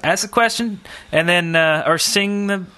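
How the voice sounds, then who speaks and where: A man's voice: high-pitched, a little slow, sharp tone, slight background noise. A man co-hosting a podcast in casual chat, rambling along in a jokey, self-deprecating way. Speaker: podcast co-host.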